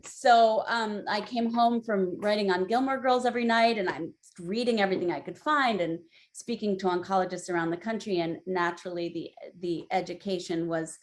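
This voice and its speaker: A woman in her forties on a webinar panel, telling a personal story in a candid, conversational way that turns lively when she quotes herself. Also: medium pitch, moderate pace, hardly any pauses. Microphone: video call.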